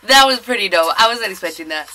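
A woman's voice: mid-range voice, animated, quick pace, bright, forceful tone, faint music behind, low-quality recording. A congested young woman chatting casually in a slangy, rambling way as she reacts on camera in a bedroom. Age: young adult. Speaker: reaction video vlogger. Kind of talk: casual chat, excited music video reaction. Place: small bedroom with bunk bed, indoors.

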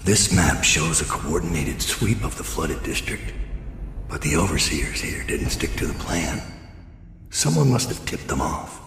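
A very deep, gravelly, grim assassin. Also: male voice, lively intonation, fairly bright tone, a little hiss or hum, heavily acted. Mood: gruff, commanding. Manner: brooding, deliberate, menacing. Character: grim, guilt-ridden middle-aged male master assassin. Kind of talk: video game voice acting, dramatic monologue.

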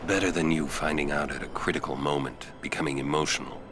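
An actor playing a stern older guardian warrior in a video game, terse and dryly teasing. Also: male voice, extremely low pitch, fairly even intonation, quick pace.

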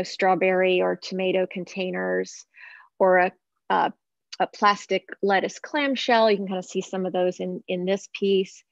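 A woman's voice: mid-range voice, creaky, no room sound. A woman artist describing her work on a video call, hesitant with many fillers. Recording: dull, dry video call audio.

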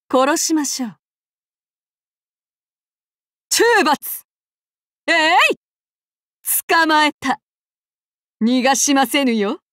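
Casting: anime game warrior demigoddess, high-pitched, extremely unhurried, archaic courtesy over menace. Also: female voice, a bit bright, dry recording, clean studio sound. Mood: cold, lethal. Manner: archaic formal polite speech, slow and deliberate. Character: soft-spoken, courteous, menacing female avenger demigod warrior. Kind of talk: anime mobile game voice lines.

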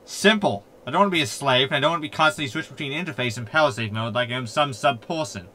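A man's voice: mid-range voice, fast talker, sharp tone, lightly acted. A man playing a cheeky, insulting, rebellious hologram in a sci-fi comedy audio drama, exclamatory and mocking. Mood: indignant.

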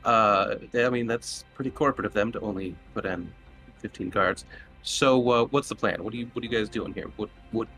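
A man's voice: lowish voice, a little fast, a bit bright. A man playing a tabletop role-playing game, chatting casually in character. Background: music.

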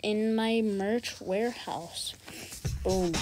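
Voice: mid-range voice, very slow, background noise. A gamer giving casual, slangy gameplay commentary and often repeating words and phrases. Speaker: gaming video host.

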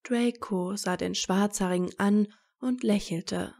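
Casting: female story narrator, mellow and relaxed, unhurried measured read of scripted fiction. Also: medium pitch, creaky.

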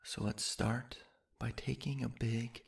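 Male sleep-hypnosis narrator: lowish, husky with some fry, soft, unhurried delivery with long pauses. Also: little pitch movement. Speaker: guided meditation narrator. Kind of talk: sleep hypnosis guided meditation, slow scripted narration.

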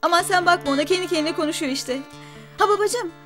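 An actress playing a caring but sharp-tongued grown daughter in a TV drama. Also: female voice, extremely high pitch, fast talker. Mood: teasing, brisk. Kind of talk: TV drama acting. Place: indoor room in a house, on set. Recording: music under the dialogue.

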